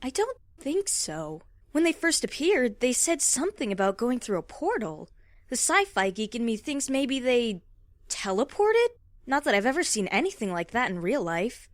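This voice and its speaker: A friendly, geeky young woman played by an actress in an audio drama. She is chatty and lively, a little high in pitch, with a dry sound. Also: normal speed, no echo. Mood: thoughtful. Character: young human woman, friendly self-described sci-fi geek. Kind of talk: dramatised comic-book audio acting.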